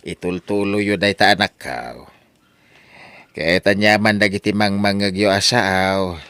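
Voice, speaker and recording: A man's voice: sits low, fairly bright tone, smooth, dry recording. A man playing a plain-spoken older father who advises his grown children emphatically in an Ilocano audio drama. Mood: pleased, advising.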